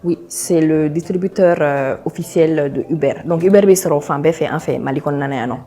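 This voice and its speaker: A woman's voice: low voice, fairly quick, dark tone, soft background music. A woman with a Malian accent, chatting effusively and full of compliments as the host of a casual celebrity cooking show. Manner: effusive, complimentary, often laughing. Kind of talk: casual celebrity chat interview.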